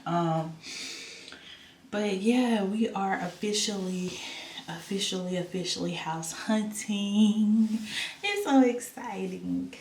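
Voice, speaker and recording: A woman's voice: medium pitch, slow, a little mellow, roomy sound, a little hiss or hum. A young woman vlogging at home, talking casually and rambling with many ums and repeats, her voice stuffy and nasal. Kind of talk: casual vlog chat. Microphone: camera on a stand.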